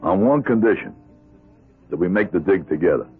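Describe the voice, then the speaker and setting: An actor playing a weary, guarded middle-aged ex-convict in a radio drama, with an American accent and a gruff, terse, sardonic delivery. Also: male voice, low voice, expressive, moderate pace, phone-quality sound. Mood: grudging, gruff. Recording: band-limited radio drama recording with music.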